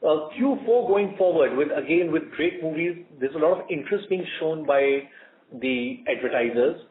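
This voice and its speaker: A man with an Indian accent answering as a company executive on an earnings call, measured and emphatic, in short chunks. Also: a little high, lively intonation. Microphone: conference call line. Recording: muffled narrowband conference call audio.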